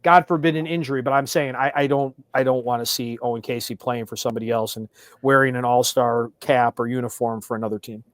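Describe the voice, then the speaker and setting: A man's voice: mid-range voice, steady tone, fast talker, no echo, studio quality. An American man co-hosting casual sports talk, emphatic and given to repeating his points. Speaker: sports podcast co-host.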